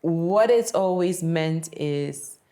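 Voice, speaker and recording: A woman podcast host from Kenya with a low voice. She talks reflectively and conversationally, feeling her way through each thought and often checking that the listener follows. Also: takes it slowly, warm tone.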